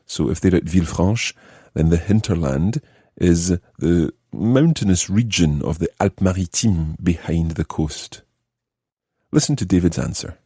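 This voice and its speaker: A man's voice: sits low, mellow, husky, no room sound, studio quality. A man teaching a language lesson, explaining and translating phrase by phrase with careful enunciation. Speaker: language teacher, podcast host.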